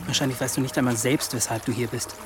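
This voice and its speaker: A man's voice: mid-range voice, fairly even intonation, quick pace, natural voice. Radio drama young monk, male actor: impatient, dry, middle register, music underneath. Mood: dry, accusing. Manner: exasperated, dry.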